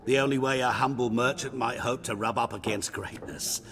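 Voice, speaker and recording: A man's voice: medium pitch, fairly even intonation, moderate pace, crowd noise, close to an everyday voice. An actor playing a sly, talkative male vagrant in a video game, wheedling and theatrical, dropping into whispers.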